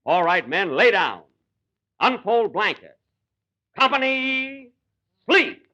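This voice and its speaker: A man's voice: sits high, very animated, slow pace, sharp tone, heavily acted. A man playing a fussy, exasperated Ranger major in a 1940s radio comedy, mock-commanding and put-upon. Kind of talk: 1940s radio comedy acting before live audience. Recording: 1940 live radio broadcast.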